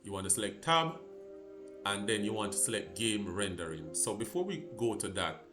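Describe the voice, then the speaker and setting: A Jamaican man hosting a gaming channel, talking through a game fix in a halting, casual way with many fillers and restarts. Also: fairly low pitch, sing-song, over music. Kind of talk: casual tutorial walkthrough.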